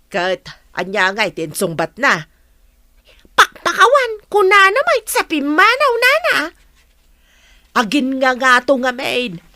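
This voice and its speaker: A woman acts out a gossipy older village mother with an Ilocano accent. Her voice is very high and bright, swinging up and down as she teases in radio comedy drama style. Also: huge pitch swings, a little slow, no echo, studio quality, heavily acted. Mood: amused, gossipy.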